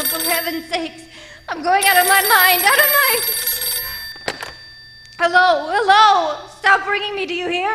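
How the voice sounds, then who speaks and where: An actress playing a nervous, demanding, self-pitying bedridden wife in a radio thriller, with an imperious, complaining, overwrought delivery. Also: female voice, very high voice, noisy background. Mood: hysterical, frantic. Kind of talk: radio thriller play acting.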